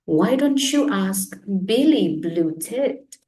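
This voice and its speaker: A woman's voice: medium pitch, animated, takes it slowly, warm tone. A woman voicing a helpful, matter-of-fact bird friend in a children's storybook. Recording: roomy echo, distant microphone.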